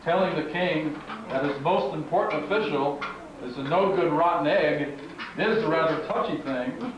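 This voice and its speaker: A man's voice: fairly high pitch, moderate pace. A man narrating a puppet show as scripted storytelling, in a declamatory, folksy way. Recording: very echoey, distant, dull, noisy live recording.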